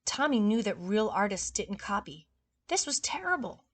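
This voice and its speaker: A woman reading a story aloud to young children in an expressive, chatty way. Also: medium pitch, moderate pace.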